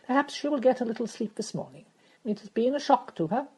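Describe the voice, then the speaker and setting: A woman voicing an aristocratic older duchess who speaks in a poised, measured way. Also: a little high, animated, darkish tone, slightly rough, voice pushed up. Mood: concerned.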